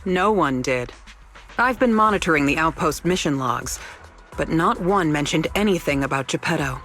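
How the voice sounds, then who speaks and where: Composed young researcher, music behind. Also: female voice, sits low, expressive, moderate pace, fairly bright tone. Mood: measured, matter-of-fact. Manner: formal, precise, dryly evasive. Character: young female researcher, composed, wry, quietly rule-bending. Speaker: researcher character. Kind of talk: scripted video game dialogue.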